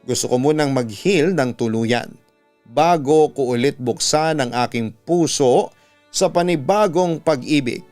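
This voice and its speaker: A man with a Filipino accent reading a dramatic story and advice as a host, in an earnest, measured way. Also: mid-range voice, darkish tone. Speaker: radio announcer and story host. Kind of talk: dramatic scripted storytelling and advice, read aloud. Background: instrumental music under the voice.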